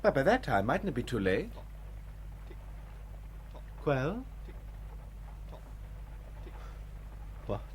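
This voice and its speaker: A man acting in a 1960s radio drama as an earnest, reflective spaceship crewman, with measured, poetic delivery. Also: mid-range voice, big pitch swings. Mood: anxious curious, then tender hushed. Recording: noisy vintage radio drama tape.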